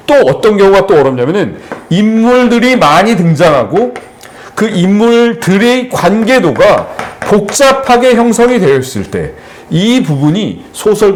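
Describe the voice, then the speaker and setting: A man's voice: high voice, a little mellow. A middle-aged man tutoring exam literature, lecturing at a seminar in an explanatory way with frequent fillers and restarts.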